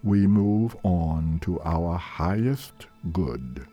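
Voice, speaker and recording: A man leading a guided meditation in a gentle, deliberate, measured way, leaving long pauses between short phrases. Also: deep voice, animated, lo-fi sound. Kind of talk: slow guided meditation narration. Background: soft meditation music.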